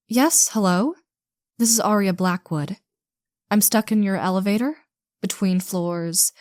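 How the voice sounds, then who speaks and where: A woman's voice: medium pitch, a little mellow, no echo, clean studio sound, close to an everyday voice. A woman playing a snobbish, sarcastic movie star with a condescending, theatrical drawl in an audio roleplay. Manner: condescending, theatrical drawl, calls others darling. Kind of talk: scripted audio roleplay acting.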